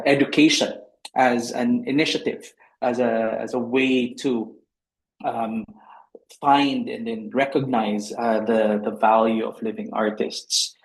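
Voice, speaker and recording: A man from the Philippines, a lecturer giving a formal talk on a video call, halting with many ums. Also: medium pitch. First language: Filipino language. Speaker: lecturer and panel speaker. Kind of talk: formal presentation. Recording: echoey room on a video call.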